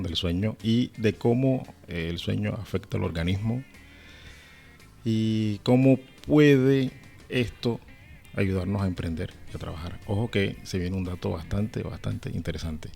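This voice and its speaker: Male podcast co-host, Cartagena Caribbean accent, deep register with plenty of fry, music under the voice. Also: measured pace, darkish tone. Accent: Colombian Caribbean (Cartagena). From Cartagena, Colombia. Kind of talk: casual podcast chat with scripted intro.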